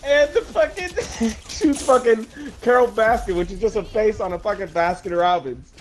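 A man's voice: high-pitched, animated, normal speed, rough recording. A man chatting casually and reacting in a giggly, rambling way with swearing.

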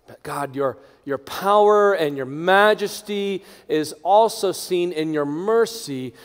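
A middle-aged man, a pastor leading a congregation in prayer from a lectern, moving between hushed, near-whispered phrases and strong, raised proclamation. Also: sits high. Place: large church sanctuary. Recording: long church reverb.

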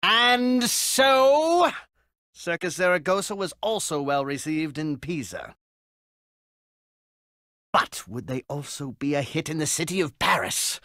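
A man voicing a long-suffering animal adviser who narrates a video game story with dry, theatrical exasperation and a husky voice. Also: fairly high pitch, sing-song, slow, long pauses, sharp tone, no room sound, full character voice. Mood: storytelling. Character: prim, long-suffering male aye-aye royal adviser narrating.

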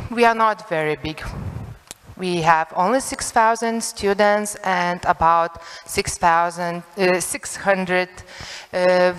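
Slow-speaking Lithuanian woman in a hall. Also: medium pitch, smooth. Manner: halting non-native English, unhurried. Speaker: conference speaker, HR director. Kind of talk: conference presentation. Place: conference hall. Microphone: handheld microphone. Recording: long reverb of a hall.

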